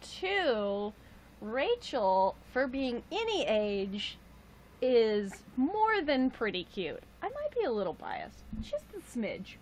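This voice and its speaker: A woman's voice: wildly swooping pitch, slow pace, a little hiss or hum. Chatty woman in her twenties. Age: 20s. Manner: chatty, jokey, with playful high exclamations. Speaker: livestream host. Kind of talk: casual livestream chat reading viewer comments.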